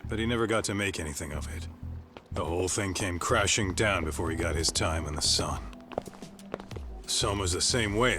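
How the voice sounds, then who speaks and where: An actor voicing a blunt, streetwise ex-gangster in a video game. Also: male voice, deep voice, lightly acted. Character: gruff tough male ex-yakuza in his thirties. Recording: music playing behind. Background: music.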